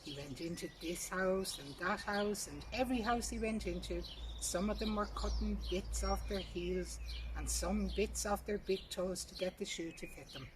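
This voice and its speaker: A woman's voice: medium pitch, normal speed, recorded from a distance. Irish woman storyteller, noisy birdsong behind. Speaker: storyteller reading a folk tale. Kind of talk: traditional oral folk tale narration. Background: birdsong, ambient noise.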